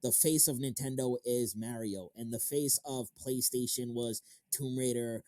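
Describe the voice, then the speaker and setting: A man's voice: medium pitch, measured pace, dark tone, no echo. A thirty-year-old man hosting an interview as a casual chat, informal and slangy with some casual swearing. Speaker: interview show host. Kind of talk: casual chat about games and films.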